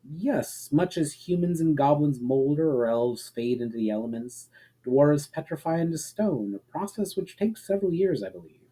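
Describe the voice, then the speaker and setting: A man's voice: a little high, animated, normal speed, dark tone, slightly rough, low-quality recording. A man voicing a proud, impatient male elf hero in a storybook reading, earnest and insistent. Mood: thoughtful.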